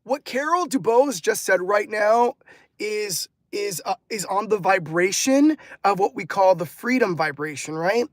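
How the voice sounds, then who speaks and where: A man's voice: high-pitched, animated, measured pace, no echo, clean studio sound. A man from Los Angeles hosting a motivational live stream, upbeat and effusive, laughing often and repeating words as he reads out chat comments. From Los Angeles, California. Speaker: livestream host and motivational coach.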